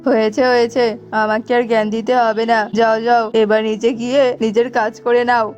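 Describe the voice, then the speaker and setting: A woman's voice: fairly high pitch, little pitch movement, brisk, a little mellow, dull audio, faint music behind, natural voice. A woman voicing a lazy, needy male crow father in a cartoon, with a wheedling manner. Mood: irritated.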